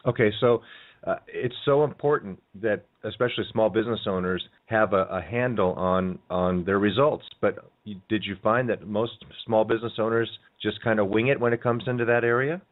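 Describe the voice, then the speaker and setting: A man with a fairly low voice hosting a radio interview. His talk is loose and unscripted, with fillers and restarts. The sound is narrow and phone-like. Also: normal speed. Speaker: radio show host.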